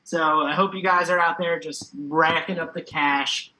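A man's voice: on the high side, steady tone, a little slow, bright, forceful tone, rough recording. A man chatting casually about sports bets as a podcast guest, playful and a bit hammy, with informal slang. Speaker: recurring podcast guest giving betting picks. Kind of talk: casual sports betting chat.

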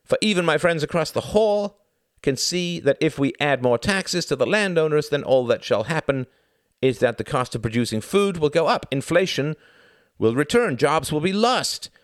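A man's voice: fairly high pitch, lively intonation, normal speed, dry recording, clean studio sound, voice pushed up, close to an everyday voice. A man voicing a nervous bald male politician who speechifies with overwrought desperation. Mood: pleading.

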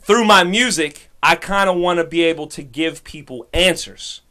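A young man guesting on a casual podcast, talking about his music. His voice sits a little above the usual male pitch. He tells stories in a lively way, slipping into quoted voices. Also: normal speed. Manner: animated storyteller, acts out quotes.

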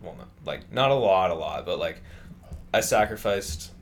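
A young man in his twenties talks in a loose, rambling way, full of fillers and restarts. His voice sits very low. Faint background noise runs under the chat. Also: animated, normal speed. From California. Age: 20s. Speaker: interviewee. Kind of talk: casual conversation.

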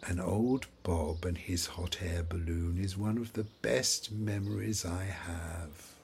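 An actor playing an elderly, forgetful father in an audio play, confused and wistful, halting and searching for his words. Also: deep voice, fairly even intonation, gravelly, a little mellow, slight background noise, heavily acted. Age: older. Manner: halting, searching, repeats himself.

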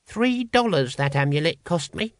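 A man's voice: high voice, lively intonation, moderate pace, fairly bright tone, no echo, clean studio sound, voice pushed up. A man voicing an aggrieved, penny-pinching cult brother who mutters and grumbles, in an audiobook. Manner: muttering, colloquial. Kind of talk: audiobook character acting.

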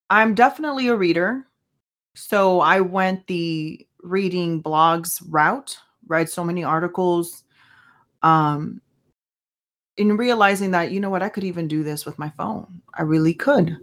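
A fairly low-voiced woman whose first language is Spanish talks through her own faith podcast. She sounds earnest and conversational, often stopping to ask herself questions as she goes. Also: measured pace, fairly bright tone. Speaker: podcast host. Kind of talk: casual faith podcast monologue.

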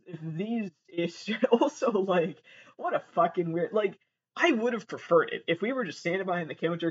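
Man, North American accent, high-pitched, digressive comedic podcaster, self-deprecating, sweary, muffled lo-fi laptop sound. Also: a bit bright, breathy edge. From Massachusetts, United States. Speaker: podcast host. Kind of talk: casual comedic solo rambling. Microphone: built-in laptop microphone. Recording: dull lo-fi laptop microphone.

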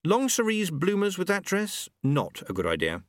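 A man voicing a brisk, practical young woman with a dry, matter-of-fact wit. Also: sits high, huge pitch swings, no echo, pitched above the performer's own voice. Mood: wry. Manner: crisp, matter-of-fact, wry.

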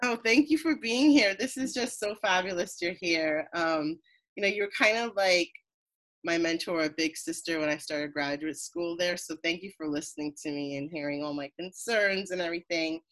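A woman from Queens, New York, a behavioral therapist who hosts the interview, talking in a warm and effusive way. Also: lowish voice, normal speed, poor audio. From Queens, New York, USA. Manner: warm, effusive, laughs often. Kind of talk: casual interview.

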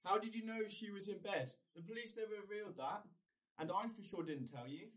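Radio drama role: male actor as headstrong grieving young Brit, higher-pitched, earnest, heard slightly far off under music. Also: brisk, fairly bright tone. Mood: shocked, suspicious. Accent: British. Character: grieving, headstrong young man set on finding the truth. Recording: distant voice under prominent music.

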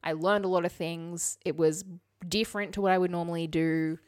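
Low-pitched woman co-hosting a chatty podcast. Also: steady tone, fairly quick, a little mellow. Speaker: podcast co-host. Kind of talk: casual chat, summarising and reading out articles.

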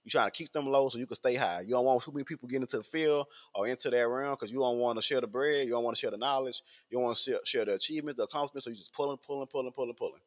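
A man's voice: mid-range voice, very fast, hardly any pauses. A man, talking in a rambling casual podcast monologue full of fillers and repeats. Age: 20s.

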